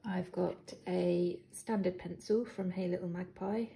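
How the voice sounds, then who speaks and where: A young British woman showing her planner supplies in a casual, hesitant way, with a croaky voice and lots of ums. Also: lowish voice, steady tone, measured pace. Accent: British English. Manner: hesitant, many ums, soft laughs. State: croaky, clearing throat. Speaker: planner vlogger. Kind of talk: casual show-and-tell. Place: living room with hard floor. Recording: echoey room, faint noise.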